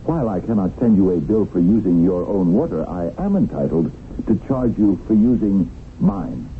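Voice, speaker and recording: A man's voice: deep voice, sing-song, moderate pace. An actor playing a sly, stingy businessman in a 1970s radio drama, pedantic and mock-courteous. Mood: smug, oily. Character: sly, stingy, smoothly officious swindling businessman. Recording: muffled, noisy 1970s radio broadcast.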